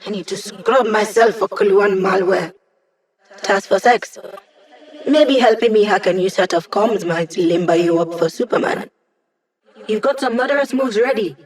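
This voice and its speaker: A woman's voice: mid-range voice, moderate pace, natural voice, poor audio. Cheeky, breezy young hacker hologram voiced by a woman. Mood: focused, casual. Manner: breezy, wry, playful, casual. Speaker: squad tech support character. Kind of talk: video game voice lines.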